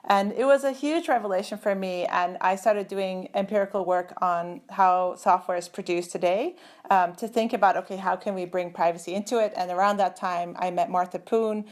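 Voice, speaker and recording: A woman's voice: mid-range voice, fairly even intonation, moderate pace. Woman guest, middle-aged, from Turkey; thoughtful, filler-heavy delivery on a video call. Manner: thoughtful, many fillers and restarts. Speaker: guest, computer scientist. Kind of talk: conversational interview.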